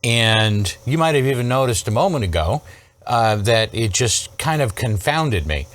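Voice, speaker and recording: A man's voice: medium pitch, lively intonation, measured pace, fairly bright tone. A man hosting an electronics repair video, talking casually and emphatically through troubleshooting, with rhetorical questions and frequent check-ins. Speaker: host of an electronics repair series. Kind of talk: casual hands-on troubleshooting demonstration.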